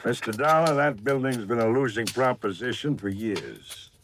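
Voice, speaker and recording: Man acting smug, animated radio businessman. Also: medium pitch, normal speed, natural voice. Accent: American. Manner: self-satisfied, unbothered. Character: smug, brazen male businessman with a burned warehouse. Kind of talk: 1950s radio drama acting. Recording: vintage radio recording, faint noise.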